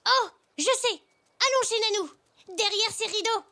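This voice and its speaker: A performer voicing an excitable, affectionate, slightly bossy little boy zebra in a French children's cartoon. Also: very high-pitched, moderate pace, faint music behind, exaggerated voice. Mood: eager, hurried.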